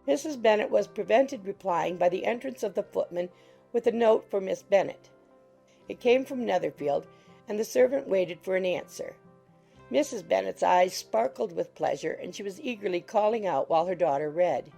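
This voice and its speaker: A woman's voice: medium pitch, expressive, moderate pace, creaky, warm tone, faint music behind. A woman giving scripted audiobook narration.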